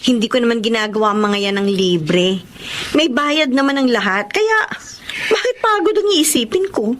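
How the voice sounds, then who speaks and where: A woman's voice: a little high, animated, moderate pace, slight background noise, lightly acted. A woman playing a hardworking, self-sacrificing young elder sister in a Tagalog radio drama. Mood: upbeat, matter-of-fact.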